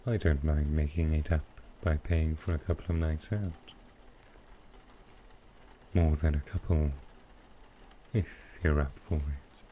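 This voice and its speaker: A man playing a smooth, flirtatious supervillain in an intimate ASMR roleplay, teasing and unhurried. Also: extremely low pitch, fairly even intonation, slight background noise. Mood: casual, suggestive. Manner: teasing, unhurried, softly suggestive. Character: smooth, flirtatious male supervillain courting his tailor.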